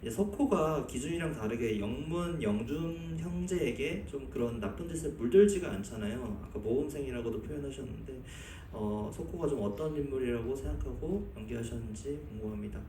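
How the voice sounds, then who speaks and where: A man's voice: medium pitch, brisk, hardly any pauses, warm tone. A man, a reporter at a press conference, politely putting his questions one by one. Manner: polite, methodical, numbered questions. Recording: echoey hall from far off, faint noise.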